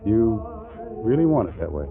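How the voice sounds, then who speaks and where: An actor playing a jealous, stubborn, ardent husband in an old radio comedy, gruff and clipped. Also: male voice, lowish voice, big pitch swings, moderate pace. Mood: wistful. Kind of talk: 1940s radio comedy acting. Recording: tinny old radio broadcast, loud music behind.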